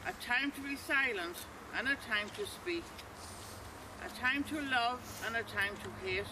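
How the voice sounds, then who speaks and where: High-voiced man reading scripture outdoors. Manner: slow, measured recitation. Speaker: reader at a remembrance service. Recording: outdoor recording with clear background noise.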